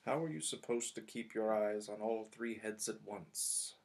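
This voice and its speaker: A man voicing a cold, spiteful middle-aged male schoolteacher, with slow, clipped, sneering speech. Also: fairly low pitch, gravelly, a little mellow.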